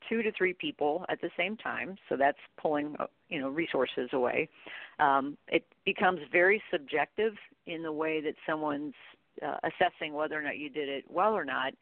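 Female guest expert, informal and anecdotal, with vocal fry and narrow telephone sound. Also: deep voice, normal speed, no room sound. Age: middle-aged. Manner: informal, rambling, acts out quoted dialogue. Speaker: guest expert, training company CEO. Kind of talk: conversational interview answers with anecdotes. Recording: tinny, dry phone-line audio.

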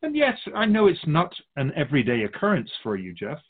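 A man playing a wise, patient otherworldly keeper of souls in a radio drama, amused, reassuring and philosophical in measured phrases. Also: fairly high pitch, wildly swooping pitch, phone-quality sound. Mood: grave, explanatory. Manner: measured, philosophical, musing, addressing humanity as an outsider.

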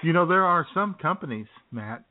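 A man's voice: on the high side, animated, moderate pace, narrow, tinny audio. A man hosting a comedy radio show in casual, irreverent banter with mock indignation, on thin-sounding audio.

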